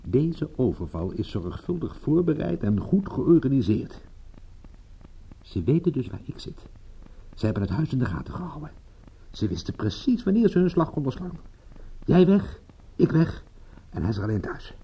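A man's voice: medium pitch, very animated, moderate pace, long pauses, dark tone, slightly rough, full character voice, poor audio. An actor playing a tough, impatient and shrewd male private detective in a radio drama, commanding and full of pointed questions. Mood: tense, reasoning. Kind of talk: radio drama acting in Dutch.

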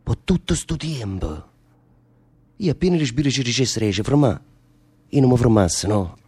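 A man's voice: medium pitch, big pitch swings, normal speed, warm tone, slightly rough. An actor playing a brooding, fatalistic man facing death, speaking earthy, insistent dialect with a southern Italian accent in a theatre drama. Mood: musing. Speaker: stage actor in a radio theatre play.

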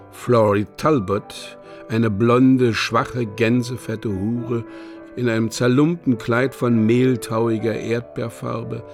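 A man narrating a literary radio play from a script in a measured, precisely enunciated way. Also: medium pitch. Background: music.

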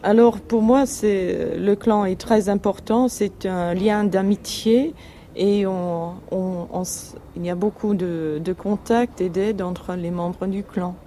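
A woman's voice: mid-range voice, fairly even intonation, takes it slowly, mellow, a little hiss or hum. A woman interpreting an interviewee's answers into French, plainly and in the first person.

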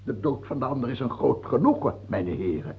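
A man playing a paranoid, capricious king in an old radio play, husky and creaky, repeating himself and swinging between wheedling and shouting. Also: mid-range voice, sing-song, fairly quick, a little hiss or hum. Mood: suspicious, mocking. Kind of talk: old radio drama acting. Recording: muffled, phone-like old radio recording.

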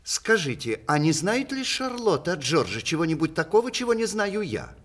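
An actor playing a witty, vain aging playwright in a 1960s Russian radio play, ironic and deliberate, with mock-tragic pauses. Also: male voice, fairly high pitch, very animated, fairly bright tone, heavily acted. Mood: curious, ironic. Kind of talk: 1960s Russian stage radio play, letters performed aloud.

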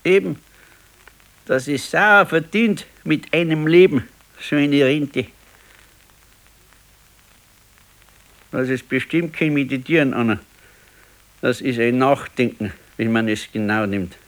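Actor as slow-talking, brooding old Bavarian pensioner. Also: male voice, medium pitch, lightly acted, poor audio. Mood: indignant. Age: older. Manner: slow, ruminating, stubborn. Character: brooding, discontented old pensioner, former electrician, husband. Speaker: radio drama actor. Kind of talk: 1970s naturalistic dialect radio play.

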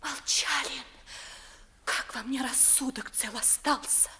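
A woman's voice: high-pitched, takes it slowly, bright, forceful tone, exaggerated voice. A woman playing a willful young noblewoman in love, with emotional, articulate stage diction in a 1950s radio play. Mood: anxious, tender. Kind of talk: 1950s theatrical radio drama in verse.

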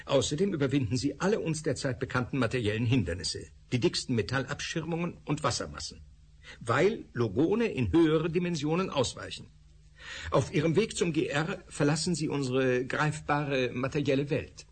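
A man's voice: mid-range voice, animated, normal speed, a little hiss or hum, close to an everyday voice. An actor playing a male scientist who lectures with hesitant fillers in a radio drama. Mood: earnest lecturing. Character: male scientist explaining thought particles.

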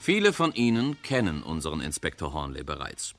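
A man presenting a crime radio play in formal 1960s announcing style, addressing the listeners in measured phrases. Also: very deep voice, wildly swooping pitch, soft background music.

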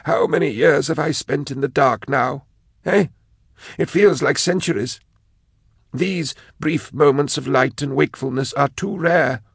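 A man's voice: on the high side, unhurried, vocal fry, slightly rough, dry recording, studio quality, pitched above the performer's own voice, heavily acted. A man voicing a weary, vengeful male sorcerer locked in a cage, intense and lamenting in an audiobook. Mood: bitter. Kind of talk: audiobook character voice.

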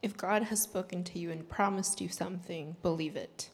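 A woman's voice: fairly low pitch, fairly even intonation, measured pace. A woman interpreting a sermon into English, relaying the pastor's words steadily. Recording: hall reverb.

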